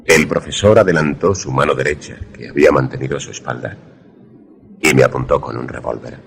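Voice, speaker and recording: An actor playing a wary, grave protagonist who narrates a radio suspense drama formally. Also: male voice, rumbling low, fairly quick, vocal fry. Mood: tense. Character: wary male narrator-protagonist, secret lover in danger.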